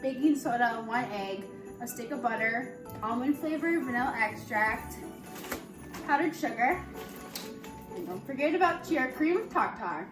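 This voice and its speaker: A woman's voice: a little high, expressive, measured pace. A teenage girl student reporter listing items to camera. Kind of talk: listing recipe ingredients to camera. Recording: echoey, distant mic, music over it.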